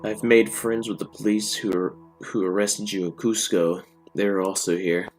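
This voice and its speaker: A man's voice: fairly low pitch, steady tone, moderate pace, faint music behind, natural voice, low-quality recording. A man voicing a polite, literal-minded machine assistant in a story, formal and deferential. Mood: cheerful. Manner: formal, deferential, matter-of-fact.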